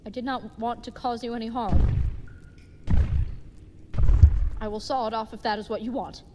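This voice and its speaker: A woman's voice: fairly high pitch, steady tone, fast talker, noisy background, natural voice. Woman playing an earnest dim gallant knight, reverberant. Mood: gentle, sincere. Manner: formal, stilted, uncontracted, chivalrous speech. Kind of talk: audio drama acting.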